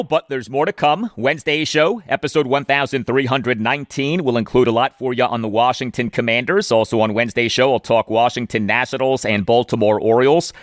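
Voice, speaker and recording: A man's voice: medium pitch, no room sound, clean studio sound. A man presenting a sports show in a scripted, announcing style as the host.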